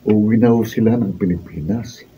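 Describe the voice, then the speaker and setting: A man's voice: fairly low pitch, moderate pace, recorded from a distance, lightly acted, low-quality recording. A man playing a respectful grown son in a radio drama, polite and easygoing as he speaks. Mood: polite, informative. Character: respectful grown son bringing family news. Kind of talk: Filipino radio drama acting.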